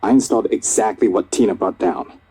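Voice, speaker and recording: An actor playing a tense, defensive subordinate technician in a video game. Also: male voice, fairly low pitch, fairly quick, warm tone, slightly rough, poor audio. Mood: tense, grim. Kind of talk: game voice acting.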